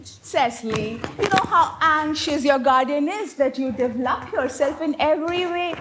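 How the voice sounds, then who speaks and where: A woman's voice: sits high, lively intonation, moderate pace, a bit bright, slight background noise. A woman playing a strict, prim Victorian governess in a stage comedy, pompous and given to moralizing. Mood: stern, instructing. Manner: stern, pompous, declamatory. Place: live stage play.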